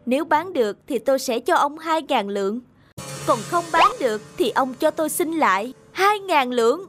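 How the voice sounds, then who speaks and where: High, insistent cartoon seller. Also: female voice, expressive, moderate pace. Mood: persuasive. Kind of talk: animated folk-tale cartoon acting. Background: loud instrumental music.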